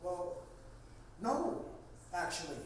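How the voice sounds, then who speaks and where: Man acting a flustered executive, swinging pitch. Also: very animated, full character voice. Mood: anxious. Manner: halting, bewildered. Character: flustered, anxious, self-doubting male finance executive. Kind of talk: table read of a comedy script. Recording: echoey noisy room, distant microphone.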